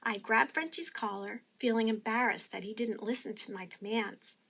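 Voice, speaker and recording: High-voiced woman reading a children's story. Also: normal speed, fairly bright tone. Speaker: storybook reader. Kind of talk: expressive children's story read-aloud.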